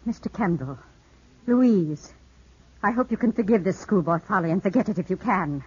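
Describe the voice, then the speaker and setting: A woman's voice: mid-range voice, expressive, moderate pace, breathy edge. An actress playing a poised, alluring lady in a 1950s radio drama, refined and theatrical, tender yet reproving. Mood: controlled indignation. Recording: dull 1950s radio broadcast, faint music.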